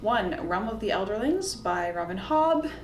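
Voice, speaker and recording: A woman's voice: sing-song, a little slow. A woman talking casually and informally to camera, giving opinionated recommendations in a chatty way. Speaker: book reviewer, video host. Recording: roomy echo, faint background noise and rumble.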